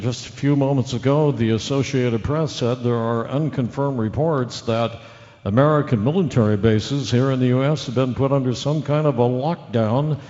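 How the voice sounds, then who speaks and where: A man's voice: mid-range voice, dark tone, lightly acted. A man playing a radio newsroom reporter in a radio drama, informal and slightly hesitant. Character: male radio newsroom reporter giving breaking news update. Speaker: news reporter. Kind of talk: radio drama news bulletin. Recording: dull lo-fi sound, long hall reverb.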